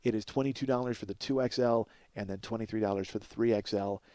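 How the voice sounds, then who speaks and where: A man's voice: lowish voice, fairly even intonation, fast talker, a little mellow, no room sound, studio quality. A middle-aged man hosting a comedy podcast in a casual, rambling monologue, swearing freely and poking fun at himself. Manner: profane, self-deprecating, exclamatory.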